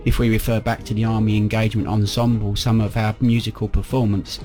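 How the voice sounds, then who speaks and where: Male interview guest, band leader, conversational, low register, prominent music bed. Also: level tone, brisk, dark tone. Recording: music playing under the voice.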